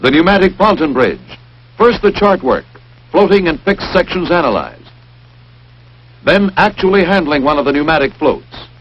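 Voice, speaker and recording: A man's voice: on the high side, animated, normal speed, breathy edge. A man narrating a wartime training film in an emphatic, punchy 1940s newsreel-announcer style. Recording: dull, hissy 1940s film soundtrack.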